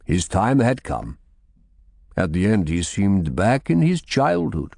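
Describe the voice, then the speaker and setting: A man voicing a gentle, grave monk in an audiobook, with a measured manner. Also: fairly low pitch, sing-song, creaky, dry recording, studio quality, heavily acted. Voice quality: lisping. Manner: slow, soft, measured. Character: gentle, grave foreign monk with dark face.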